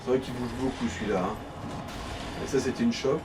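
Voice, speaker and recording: A man's voice: mid-range voice, steady tone, a little fast, over music. A man giving casual commentary as the second voice on a video game let's play.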